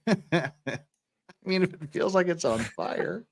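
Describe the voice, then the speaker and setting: Man, fifties, livestream host, lively swinging intonation, rambling, joking, giggly, casual delivery. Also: high-pitched, slow pace, creaky. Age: 50s. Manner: rambling, jokey, breaking into giggles. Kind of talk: casual livestream chat, reading out viewer comments.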